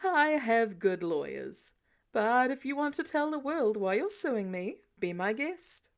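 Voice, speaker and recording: A smug, wealthy older man, played by a woman narrator in an audiobook. He teases and goads in a deadpan, self-assured way, with a lively pitch that dips for emphasis. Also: measured pace. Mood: smug, dry. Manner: matter-of-fact, deadpan, goading. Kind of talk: audiobook character dialogue.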